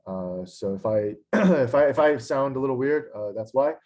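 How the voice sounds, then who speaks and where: A young man with a hoarse voice teaches a class over a video call. He scolds the students in a frustrated way, filling his talk with "um" and "like." The sound is that of a computer microphone. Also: mid-range voice, animated, normal speed, a little mellow. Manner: scolding, frustrated, many fillers. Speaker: instructor. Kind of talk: online lecture. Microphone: computer mic on a video call.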